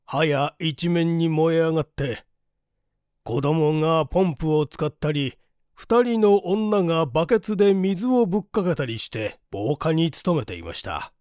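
A man reading a mystery story as a narrator, in a measured, polite and formal delivery. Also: on the high side, animated, a little mellow, no echo, clean studio sound. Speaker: audiobook narrator, stage actor. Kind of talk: dramatized literary reading, mystery novel.

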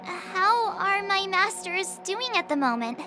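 A woman's voice: extremely high pitch, very animated, slow, background noise, full character voice. A woman voicing a perky, earnest teenage sword apprentice in an anime game. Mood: curious, friendly.

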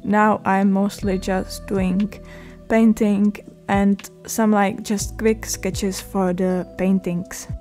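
A young woman from the Czech Republic with a Czech accent, narrating her art vlog in a halting way, with many fillers and restarts. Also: medium pitch, flat delivery, darkish tone, soft background music. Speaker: art vlogger. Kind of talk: voice-over narration.

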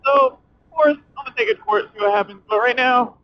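A young man chatting casually and excitably as a motorcycle vlogger, swearing and using slang as he rambles while riding. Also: extremely high pitch, a little fast. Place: outdoors, riding a motorcycle at night. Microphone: helmet communicator mic inside helmet. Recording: muffled helmet intercom mic, clear background noise.

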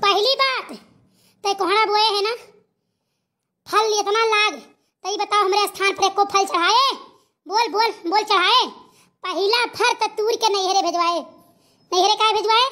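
A woman voicing an angry spirit possessing a village woman, booming and commanding, in a cartoon. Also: measured pace, long pauses, bright, forceful tone, full character voice. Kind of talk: cartoon voice acting.

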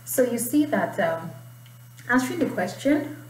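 A woman's voice: fairly high pitch, sing-song, a little slow. A young adult woman with a Nigerian accent, teaching in an informal tutorial style. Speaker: IELTS writing teacher. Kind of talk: informal tutorial, explaining and reading aloud. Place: small indoor room. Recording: roomy, distant mic, faint noise.

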